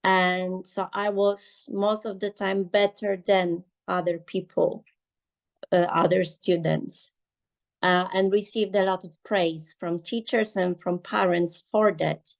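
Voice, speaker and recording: A woman from Poland, speaking English as an as she shares her experience on a video call in a measured, deliberate way. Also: fairly low pitch, level tone, gravelly. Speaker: webinar participant. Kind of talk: personal sharing on a video call. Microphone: computer mic on a video call.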